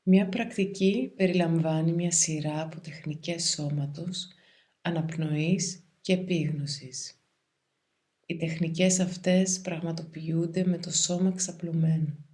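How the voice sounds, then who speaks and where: A woman guides a meditation in a calm, soothing, measured way, leaving pauses between phrases. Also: sits low. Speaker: yoga nidra meditation guide.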